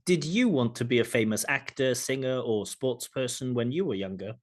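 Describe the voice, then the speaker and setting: Formal male examiner with lively pitch. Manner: measured, formal questioning. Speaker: speaking examiner. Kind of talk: scripted exam questions. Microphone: video call.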